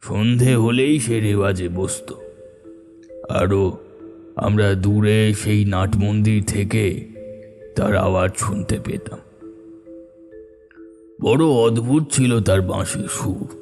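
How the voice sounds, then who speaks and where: A man playing a solemn older villager, reminiscing in measured cartoon storytelling. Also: on the low side.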